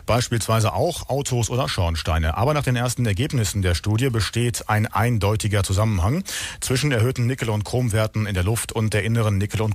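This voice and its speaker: A man's voice: on the low side, no echo. A man presenting local radio as host, reading scripted items in a studio.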